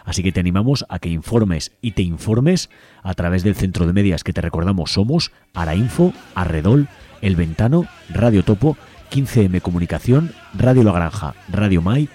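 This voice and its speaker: A man's voice: low-pitched, expressive, moderate pace, warm tone, slightly rough. A man with an accent from Spain, reading a scripted announcement as host of a community radio programme in a studio. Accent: Spanish from Spain.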